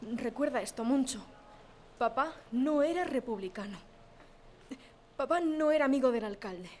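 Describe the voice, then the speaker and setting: A woman's voice: high voice, expressive, normal speed, a little mellow, slight background noise. A woman playing a devout, anxious but strong-willed village mother in a radio drama. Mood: firm, urgent. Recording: music behind the voice.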